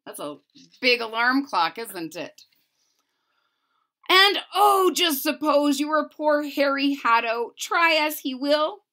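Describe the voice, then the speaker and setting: A woman's voice: high voice, sharp tone. A woman in her forties reading a children's picture book aloud with emphatic, sing-song delivery and casual asides. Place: small furnished room at home.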